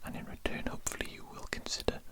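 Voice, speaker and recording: Breathy whispery asmr roleplay voice, pompous fussy alien doctor, repeats little phrases, no real voicing. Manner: slow, repetitive, self-important, politely fussy.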